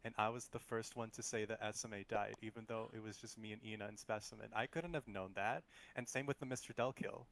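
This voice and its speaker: A man's voice: fairly low pitch, fairly even intonation, brisk, vocal fry, few pauses, fairly bright tone, slight background noise. A man talking casually in a group online game, arguing his case as a player. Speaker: player in a social deduction game.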